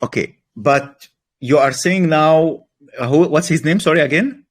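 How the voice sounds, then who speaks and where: A man's voice: a little high, unhurried. A man pressing questions in a live online call, repeating and rephrasing until he gets an answer. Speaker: AMA questioner.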